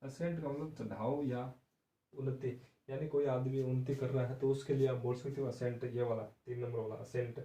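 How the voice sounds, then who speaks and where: A man's voice: medium pitch, level tone, normal speed, warm tone, far from the mic. A man teaching an exam-prep vocabulary lesson who explains patiently, repeats words and spells them out letter by letter. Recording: echoey room, voice somewhat off-mic.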